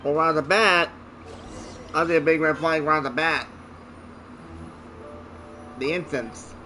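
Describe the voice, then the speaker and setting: Male gamer for casual commentary: very bright, forceful voice, higher than average, blunt short reactions, faint noise. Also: measured pace. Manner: informal, blunt, interjecting in short bursts. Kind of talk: casual let's-play commentary.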